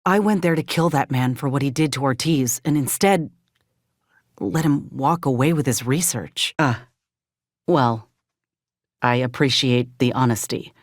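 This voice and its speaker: A folksy space frontier ex-soldier gal, earnest n steadfast, plain talker, game dialogue, super dry sound. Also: female voice, extremely low pitch, no echo. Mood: somber, resolute. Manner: folksy, plainspoken, measured delivery. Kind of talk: video game character dialogue.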